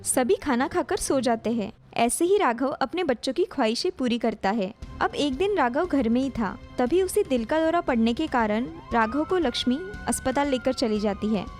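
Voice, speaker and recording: A woman's voice: high-pitched, lively intonation, normal speed, few pauses, darkish tone, faint music behind. A woman reading scripted narration for a cartoon family story, as a storyteller.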